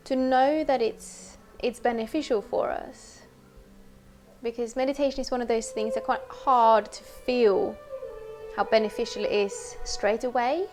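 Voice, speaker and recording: Higher-pitched woman guiding a meditation. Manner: gentle, unhurried, conversational. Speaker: meditation and yoga teacher. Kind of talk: guided meditation.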